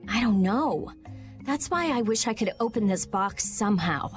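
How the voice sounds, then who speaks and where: A woman's voice: medium pitch, expressive, normal speed, soft background music, natural voice. A woman voicing a prickly teenage girl mage in video game dialogue, curt and blunt with a caring side. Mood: curt, serious.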